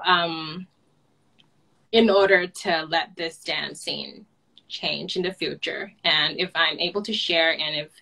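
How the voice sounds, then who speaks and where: A woman in her twenties from Hong Kong with a Hong Kong accent, telling her story softly as an interview guest on a webcam at home. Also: lowish voice, rough recording. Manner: soft-spoken, light laughs, simple phrasing. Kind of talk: personal story in a video call. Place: home room, indoors. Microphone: video call webcam.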